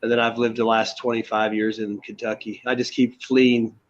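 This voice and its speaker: Flat-voiced American man on a muffled call. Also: medium pitch, normal speed. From United States. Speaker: participant in an online open mic. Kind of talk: casual chat.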